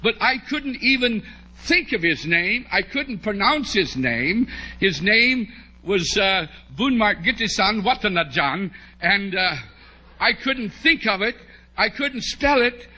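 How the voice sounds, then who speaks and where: A middle-aged American man preaching, telling a humorous story to a congregation in an animated way with drawn-out emphasis. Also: high-pitched, highs cut off. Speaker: preacher, bishop. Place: large church hall, at a pulpit before a congregation. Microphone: podium microphone on a stand.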